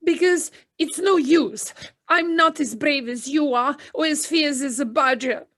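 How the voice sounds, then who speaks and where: Woman playing a meek, high-voiced little mole. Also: unhurried, fairly bright tone, dry recording, clean studio sound. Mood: despairing. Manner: hesitant, meek. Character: timid, gloomy, self-doubting little mole. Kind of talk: amateur audio play acting.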